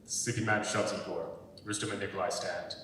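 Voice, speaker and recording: Low-voiced male narrator in echoey hall. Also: steady tone, brisk, fairly bright tone. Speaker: stage-direction narrator. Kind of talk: scripted narration at a dramatic reading. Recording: echoey hall, far from mic, faint noise.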